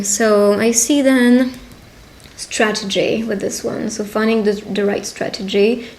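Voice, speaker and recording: A woman speaking conversationally and encouragingly as a witch giving a personal love reading, pausing to interpret as she goes. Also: mid-range voice, darkish tone, slight background noise. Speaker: tarot reader and roleplay video host. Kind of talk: intimate roleplay fortune-telling addressed to the listener.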